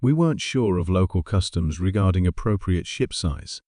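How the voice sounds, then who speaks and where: A man's voice: deep voice, animated, normal speed, a little mellow, no echo, studio quality. A man voicing a confident, dryly amused female warship captain, polite and understated, in a story reading.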